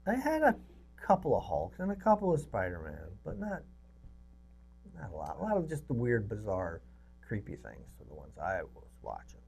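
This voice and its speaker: A middle-aged man co-hosting a movie review show, giving short, halting replies in casual chat. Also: fairly low pitch, huge pitch swings, creaky, a little mellow, soft background music.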